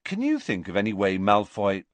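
A man's voice: lowish voice, huge pitch swings, normal speed, no echo. A man reading the part of a stubborn, moody teenage schoolboy in a storybook, earnest and easily irritated. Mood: insistent.

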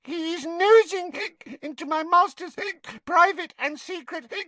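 A man voicing a tipsy female house elf with a shrill, squeaky voice and broken grammar. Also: measured pace, bright, forceful tone, dry recording, exaggerated voice. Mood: indignant.

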